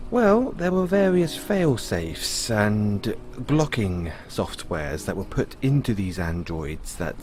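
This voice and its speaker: A man playing a patient owner talking to his android in an audio roleplay, explaining in a musing way with pauses. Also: lowish voice, darkish tone, slight background noise. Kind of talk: audio roleplay, conversational storytelling.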